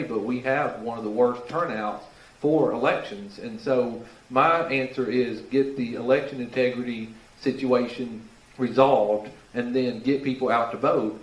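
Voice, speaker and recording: Blunt man speaking in an echoey hall. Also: mid-range voice. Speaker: political candidate. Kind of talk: candidate forum answer. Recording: echoey room from a distance.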